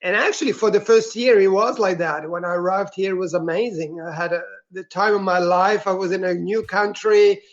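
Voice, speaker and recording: A man from Switzerland speaks as an interview guest, his voice pitched high. He tells his personal story earnestly and reflectively, in a soft-spoken manner. The recording sounds dull and lo-fi. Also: steady tone, a little fast, hardly any pauses.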